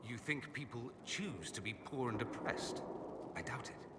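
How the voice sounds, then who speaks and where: An actor playing an urbane, witty, self-assured aristocrat mage in a video game, articulate, theatrical and sardonic. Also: male voice, mid-range voice, expressive, unhurried, natural voice. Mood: earnest, defensive. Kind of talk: video game dialogue acting. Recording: echoey hall with ambient noise.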